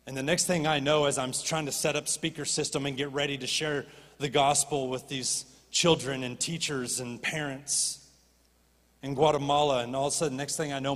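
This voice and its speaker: A man preaching a sermon as a pastor, animated and rising to impassioned pleading. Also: medium pitch. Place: church stage. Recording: long reverb of a church hall.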